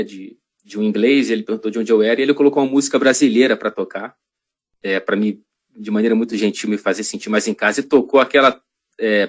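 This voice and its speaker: A man with a Brazilian accent speaks as a podcast guest, recounting his experiences at length in a thoughtful, halting way. He stops and restarts often as he searches for words. His voice sits somewhat low. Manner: reflective, halting, many restarts and fillers. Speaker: guest journalist. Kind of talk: podcast interview storytelling.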